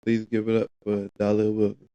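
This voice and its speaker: A man hosts a podcast in a laid-back, casual way, his low voice gravelly and very dark. He keeps a flat, even tone while he asks short questions. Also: a little fast, muffled recording. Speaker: podcast host. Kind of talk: casual interview hosting.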